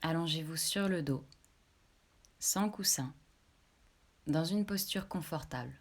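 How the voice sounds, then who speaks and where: Female meditation guide, slightly low voice, very slow and calm, measured delivery for deep relaxation sessions. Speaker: yoga nidra instructor.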